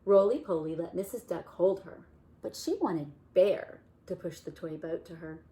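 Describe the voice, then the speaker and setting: A woman reading a picture book aloud to children, gently asking questions about the pictures. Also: on the low side, normal speed. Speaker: children's story reader. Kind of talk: storytime read-aloud for kids.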